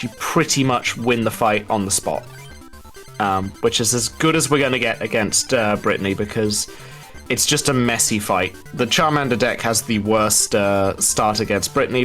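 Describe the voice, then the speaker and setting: A man giving live speedrun commentary, explaining as he goes and pausing mid-thought to rephrase. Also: medium pitch, a bit bright.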